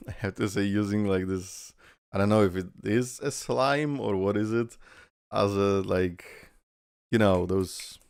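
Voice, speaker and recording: Low, gravelly man reacting to game trailers. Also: unhurried, no room sound, clean studio sound. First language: Polish. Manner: excitable, rambling, full of fillers. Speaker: reaction video host. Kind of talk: casual game reaction commentary.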